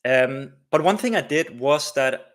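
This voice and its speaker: Guy rambling through a live coding stream, full of uhs and ums, medium pitch. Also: lively intonation, a little slow. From Denmark. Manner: rambling, many fillers and restarts. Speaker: livestream host, developer.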